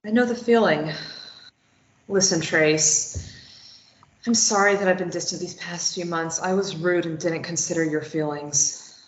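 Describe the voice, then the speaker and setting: This is a woman voicing a wry, stubborn young woman who plays everything down. Her delivery is dry and unhurried. The sound is roomy and muffled, like a lo-fi recording. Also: lowish voice, a little hiss or hum, close to an everyday voice. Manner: dry, understated. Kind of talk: table read of a stage play.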